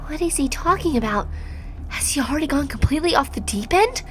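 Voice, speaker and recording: A woman doing a casual let's play, reading game dialogue aloud and reacting with excitable, self-deprecating asides. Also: high-pitched, a little fast.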